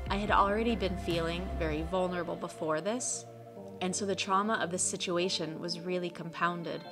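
American woman, thirties, earnest and reflective, scripted vlog narration, music underneath. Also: on the low side. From United States (Colorado). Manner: earnest, reflective, measured delivery. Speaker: travel vlogger. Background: soft music bed.

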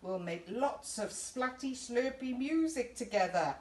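A woman voicing a friendly, excitable, noisy monster who rallies friends in a children's storybook. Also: a little high, measured pace, pitched above the performer's own voice. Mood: rallying. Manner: exclaiming, rallying friends. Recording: roomy, distant camera mic with faint noise.

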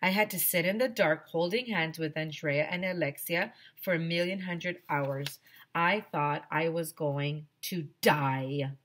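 A woman's voice: low-pitched, a little slow, fairly bright tone, a little hiss or hum. A middle-aged woman, a teacher reading a children's storybook aloud expressively into a headset mic. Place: small decorated room indoors.

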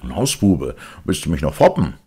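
A man voicing a callous, quick-tempered village man who talks in a brusque, scolding way. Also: extremely low pitch, huge pitch swings, dark tone, slightly rough, exaggerated voice. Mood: indignant.

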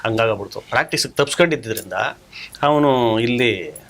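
A man's voice: medium pitch, normal speed, creaky, a bit bright. A man, casually telling anecdotes as a guest stage actor and acting out what others said. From rural Karnataka, India.

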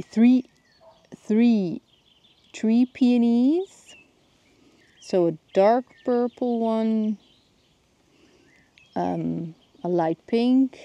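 A Dutch woman filming herself outdoors in her garden with a handheld phone. She talks casually and often pauses to search for plant names. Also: mid-range voice, dark tone, lo-fi sound. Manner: searching for words, thinking aloud. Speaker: gardening vlogger.

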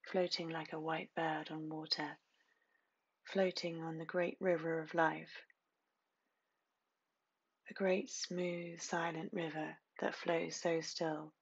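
A woman reads a hypnotic guided meditation in a low voice with a husky, breathy edge. Her delivery is very slow and hushed, with phrases repeated soothingly between long pauses. The recording sounds dull and muffled. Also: flat delivery.

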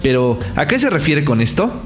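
A man reading a scripted news voice-over in a steady, informative way. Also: fairly high pitch, moderate pace, faint music behind. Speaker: news narrator.

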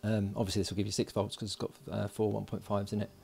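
A man giving casual hands-on commentary as an electronics hobbyist, informal and halting as he thinks aloud while working. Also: lowish voice, monotone, vocal fry, mellow, husky. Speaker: electronics hobbyist presenting a build. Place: indoors at a workbench.

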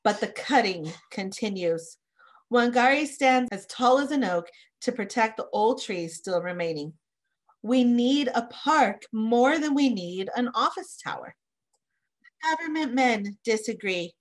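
Slow-reading woman, higher-pitched voice. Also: expressive. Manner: careful phrasing, pausing to explain words simply. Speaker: read-aloud presenter for children. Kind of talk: children's picture book read-aloud. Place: small indoor room, home office.

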